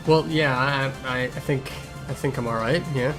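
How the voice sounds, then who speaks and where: A man's voice: medium pitch, fairly even intonation, normal speed, natural voice. A man voicing a grumpy, sarcastic blind young cat healer in a fan Q&A, with a curt, irritable and defensive manner. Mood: uneasy. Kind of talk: improvised in-character fan Q&A. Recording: music behind the voice.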